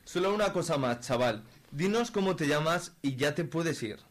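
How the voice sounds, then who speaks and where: A man's voice: a little high, lively intonation, moderate pace. A man playing a scheming, bossy middle-aged father in a radio soap, crude and blustering, with a Spanish accent from Spain. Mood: stern, suspicious. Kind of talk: amateur radio soap acting.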